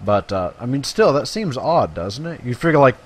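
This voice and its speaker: A man with a medium-pitched voice talks his way through a video game. He is chatty and playful, slangy in his remarks and given to musing to himself, and he also reads quest text aloud. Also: very animated, normal speed. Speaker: video game player and commentator. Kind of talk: casual gameplay commentary with quest text read aloud.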